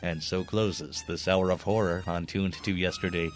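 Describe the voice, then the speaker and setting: Deep-voiced male radio host. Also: steady tone, moderate pace. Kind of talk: scripted radio presentation. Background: music.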